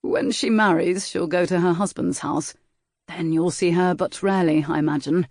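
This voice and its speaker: A woman reading the lines of a gruff, sardonic young gentleman in an audiobook. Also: high voice, steady tone, moderate pace, dry recording, studio quality. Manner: gruff, brusque.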